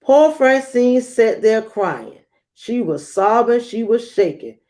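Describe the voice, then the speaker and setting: A woman reading a rhyming children's picture book aloud, acting it out with theatrical emphasis and dramatic pauses. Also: on the high side, big pitch swings, dark tone. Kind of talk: expressive rhyming storybook read-aloud.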